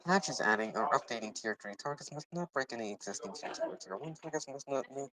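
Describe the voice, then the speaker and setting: A man's voice: mid-range voice, lively intonation, fast talker, crowd noise, poor audio. A man reading a technical policy document aloud, stumbling over words and repeating phrases as he goes. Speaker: reader.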